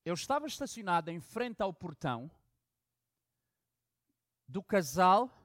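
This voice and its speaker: A pastor from Angola preaches from a story, booming on key words and then dropping to hushed asides. The sound is close and dry, with no room around him. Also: male voice, sing-song, a little slow, no room sound. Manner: storytelling preacher with dramatic swings from loud emphasis to hushed asides. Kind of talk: sermon.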